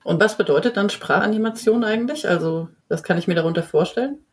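A woman's voice: mid-range voice, quick pace. A woman hosting a German podcast, chatting casually and laughing easily as she interviews a guest. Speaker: podcast host.

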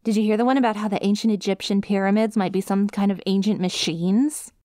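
A woman's voice: medium pitch, steady tone, fast, dark tone, no room sound, studio quality, lightly acted. Scatterbrained, friendly dog-girl study buddy, acted by a woman. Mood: sheepish, rambling. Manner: casual, rambling, hesitant, easily sidetracked. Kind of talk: ASMR roleplay, one-sided conversation with listener.

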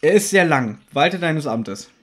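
A man's voice: fairly high pitch, animated, measured pace, a bit bright, slight background noise. A man co-hosting a podcast in casual, conversational discussion. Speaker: podcast co-host.

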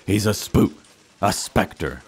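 A gruff police chief in a noir radio comedy. His low voice is thick with gravel, and he lays down the law with weary, exasperated authority. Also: lively intonation, measured pace, exaggerated voice. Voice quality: gravelly, husky. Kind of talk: comic noir radio play acting.

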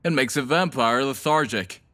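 A man's voice: mid-range voice, normal speed, sharp tone, close to an everyday voice. A man acts out a brash young half-vampire who dabbles in potions. His voice sits in a middle male range. He talks in an offhand, sweary, casual way, as in an audio drama. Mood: breezy.

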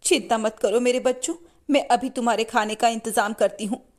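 A woman's voice: fairly high pitch, fairly even intonation, a little fast, close to an everyday voice. A woman voicing a long-suffering, outspoken poor mother in a cartoon story, earnest and plaintive. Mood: reassuring.

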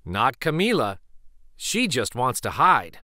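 Male storybook reader, slow and deliberate, dry close sound, children's read-aloud. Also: medium pitch, dry recording. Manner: expressive, deliberate.

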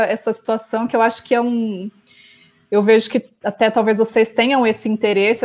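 A woman from Brazil, host of an online event, asks a long, polite question with many pauses and restarts over a video call. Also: a little high. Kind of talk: hesitant interview question. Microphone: earphone mic on a video call.

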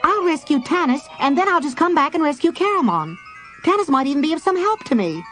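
Man doing a high bouncy kender, cheeky and excitable, storybook reading. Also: a little fast, soft background music, exaggerated voice. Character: small cheerful mischievous kender man.

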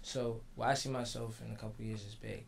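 A teenage man from the Bronx, a rapper guest answering in a laid-back, slangy, halting way in a radio studio. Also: fairly low pitch, little pitch movement, vocal fry, slight background noise. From the Bronx, New York. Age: teens. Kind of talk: casual interview. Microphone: studio mic on stand.

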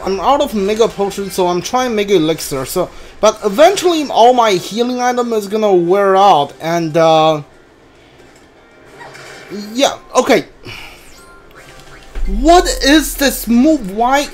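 A man streaming a game with casual, halting commentary, often repeating himself. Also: high voice, soft background music. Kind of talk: casual live playthrough commentary. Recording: steady background noise.

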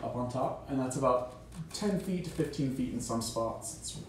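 A man running a tabletop game, describing the scene in an unhurried way around a table in a dining room. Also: mid-range voice, animated, recorded from a distance. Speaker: game master. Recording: echoey room, from afar, faint noise.

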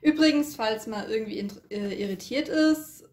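Higher-voiced woman co-hosting in an echoey room. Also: normal speed, darkish tone. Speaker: unboxing co-host. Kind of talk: casual chat with product background.

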